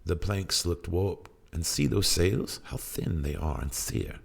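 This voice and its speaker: A man giving a theatrical, solemn and deliberate dramatic recitation of a poem, with music. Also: sits low, animated, vocal fry, breathy edge. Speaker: poetry reader. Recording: music played behind the voice.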